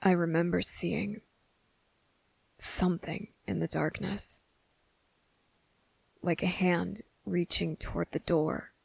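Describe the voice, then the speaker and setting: Woman voicing a guarded, gravelly-voiced young boy, hushed. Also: fairly low pitch, fairly even intonation, no echo, heavily acted. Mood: quiet, reflective. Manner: hushed, halting, pauses mid-thought. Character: young boy, guarded and fed up, confronting a hidden monster. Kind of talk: ASMR roleplay monologue.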